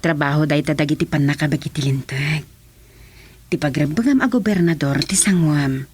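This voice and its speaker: An earnest, dutiful official played by an actor in an Ilocano radio drama. The voice is mid-pitched, with faint noise around it. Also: normal speed, a little mellow, natural voice. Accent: Ilocano Filipino.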